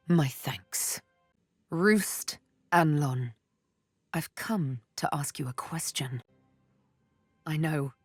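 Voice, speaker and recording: A woman's voice: deep voice, husky, no echo, full character voice. A woman playing a sly, bitter elf assassin and former slave in a video game, with a teasing, menacing way of telling things. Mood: cold menace. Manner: slow, teasing, menacing storytelling delivery. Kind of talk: video game character acting. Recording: music behind the voice.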